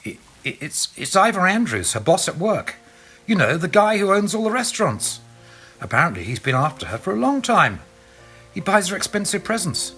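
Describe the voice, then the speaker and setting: Brief: hesitant Australian woman friend, put on by a male narrator, raised pitch, stammering apology. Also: big pitch swings, moderate pace, bright, forceful tone, husky, soft background music, exaggerated voice. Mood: flustered. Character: Australian woman friend, awkward bearer of bad news. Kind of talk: audiobook character voice.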